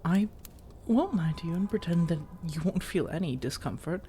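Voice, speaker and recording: A woman playing a flirtatious, coaxing female vampire in a soft-spoken ASMR roleplay, teasing and measured, with intimate pauses and breathy slips into whispers. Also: on the low side, lively intonation, slight background noise, natural voice. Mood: calm, reassuring. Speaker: audio roleplay performer.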